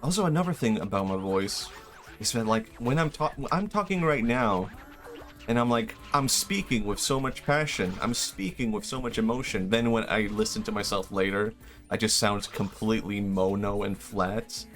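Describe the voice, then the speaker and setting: A man's voice: fairly low pitch, moderate pace, fairly bright tone, soft background music. A young man from Sweden, a native Swedish speaker, chatting casually and rambling with self-deprecating laughs while streaming a game. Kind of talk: casual live-stream chat while gaming.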